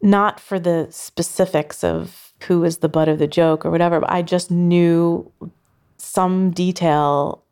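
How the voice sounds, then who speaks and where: Low, gravelly-voiced woman musing aloud. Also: steady tone, unhurried, darkish tone. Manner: thoughtful, loosely phrased with frequent "like". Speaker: sound artist and researcher. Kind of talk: reflective interview talk.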